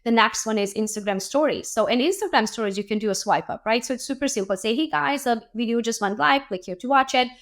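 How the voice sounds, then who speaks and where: A woman host, hoarse and losing her voice, teaching social media marketing in a chatty, practical way that keeps checking in with "right?". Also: fairly high pitch, quick pace, few pauses, fairly bright tone. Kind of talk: casual teaching talk.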